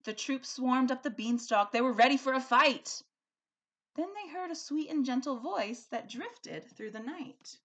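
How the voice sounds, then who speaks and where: A woman's voice: high voice, measured pace, dull audio. A woman teacher speaking expressively and emphatically, as in a storybook read-aloud for a school lesson. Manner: animated, emphatic.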